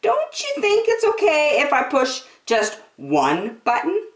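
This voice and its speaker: A woman's voice: high-pitched, huge pitch swings, slow pace, reverberant, far from the mic, voice pushed up, full character voice. A woman voicing a curious, impulsive five-year-old girl in a storybook, eager and a little hesitant. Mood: eager, self-coaxing.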